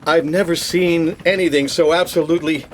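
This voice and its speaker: A man playing an excitable, awestruck spaceship captain in a science-fiction audio drama, with dramatic, emphatic delivery. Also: on the high side, normal speed, a little hiss or hum, close to an everyday voice.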